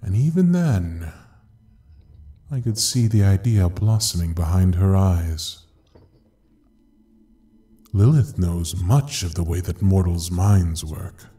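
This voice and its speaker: A man playing a sinister, grandiose ruler of hell in an audio drama, mocking and seductive, with theatrical, drawn-out pauses. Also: low-pitched, warm tone. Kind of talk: dramatic audio roleplay acting.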